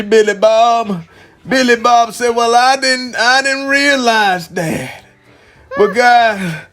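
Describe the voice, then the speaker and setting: Slow, bright-voiced man telling bedtime stories. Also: sits high. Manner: animated, drawn-out delivery, addresses young listeners, repeats phrases for emphasis. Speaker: storytelling dad, show host. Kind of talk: improvised children's bedtime storytelling.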